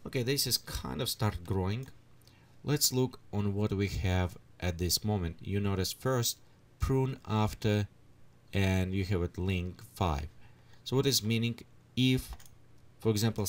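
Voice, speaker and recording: A man with leading a software tutorial at his computer, thinking aloud as he adjusts settings. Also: low-pitched. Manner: unhurried, thinks aloud while clicking through settings. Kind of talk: screen-recorded software tutorial.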